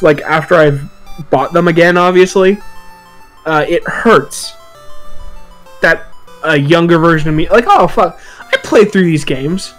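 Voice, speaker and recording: A high-pitched man hosts a casual podcast over a voice call. He talks in a halting, sweary, self-mocking way. Music plays behind him. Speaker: podcast host. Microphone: voice call microphone.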